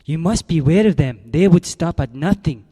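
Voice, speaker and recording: A fussy, formal schemer. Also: male voice, on the high side, normal speed, warm tone, breathy edge, long reverb tail. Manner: formal, fussy, then menacing. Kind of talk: radio drama acting.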